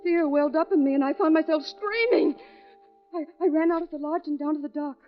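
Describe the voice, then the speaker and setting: Radio mystery heroine played by a woman: high-pitched young female, fearful yet defiant, emotionally wrought, dull old recording. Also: fairly quick, soft background music, close to an everyday voice. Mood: haunted, distressed. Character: frightened, defiant young woman under threat. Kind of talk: 1940s radio mystery acting. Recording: dull vintage radio recording, musical score.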